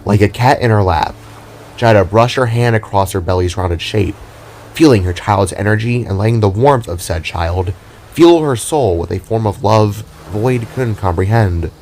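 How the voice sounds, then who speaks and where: A man's voice: on the low side, moderate pace, a little mellow, slight background noise. A man reading scripted fantasy story narration as the narrator of an audio drama. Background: music.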